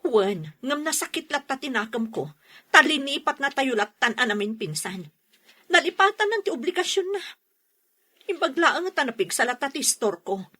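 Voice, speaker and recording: A woman playing an aggrieved, hot-tempered mother in a radio drama, with a husky voice and emphatic delivery. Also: high voice, very animated, moderate pace, bright, forceful tone, heavily acted. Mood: bitter. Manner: scolding, emphatic. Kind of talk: radio drama acting in Ilocano.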